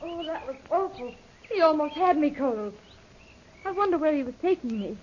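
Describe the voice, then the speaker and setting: High-pitched headstrong young heroine. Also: female voice, lively intonation, moderate pace. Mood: shaken. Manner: dramatic, insistent. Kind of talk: old-time radio serial acting. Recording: dull lo-fi old radio recording.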